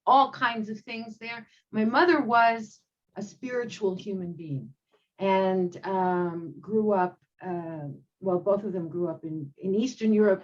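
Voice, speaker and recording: A woman in her sixties, a rabbi, telling her own story reflectively on a video call panel, with many pauses and ums. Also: medium pitch, muffled recording. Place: home room.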